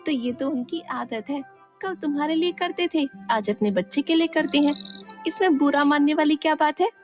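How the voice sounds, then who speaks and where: A woman voicing a patient, sensible wife in a cartoon, calm and reasoning. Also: high voice, moderate pace, natural voice. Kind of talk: cartoon voice acting. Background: background music.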